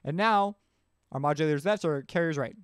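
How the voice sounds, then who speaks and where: A man narrating a casual software tutorial, talking informally as he thinks aloud. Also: a little high, fairly quick. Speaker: tutorial host. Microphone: close mic screencast voice-over.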